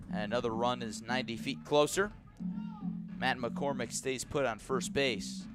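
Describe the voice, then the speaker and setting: A man does live baseball play-by-play for radio from a stadium press box. His voice sits in a middle register for a male speaker. He narrates in a measured, descriptive way, raising his delivery as each pitch comes in. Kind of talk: live radio sports commentary.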